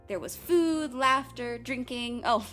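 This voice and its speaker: An actress playing a determined, protective daughter in a radio drama, speaking bluntly and earnestly in dialogue and narration. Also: female voice, fairly high pitch, slow pace, a bit bright, soft background music. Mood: grave narration. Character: Jess, determined, defiant young woman, protective daughter. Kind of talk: radio drama acting and first-person narration.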